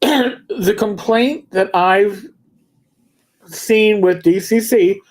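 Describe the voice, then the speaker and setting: A man co-hosting a livestream, chatting casually about tabletop games and spinning rambling anecdotes with slow, drawn-out emphasis. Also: high voice. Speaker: livestream co-host.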